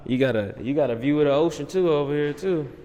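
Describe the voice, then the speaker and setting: A man's voice: fairly high pitch, fast talker, highs cut off. A man hosting a vlog, giving a loose, slangy running commentary as he tours an apartment. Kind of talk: casual tour commentary. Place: apartment and rooftop, indoors and out. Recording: noisy handheld video audio.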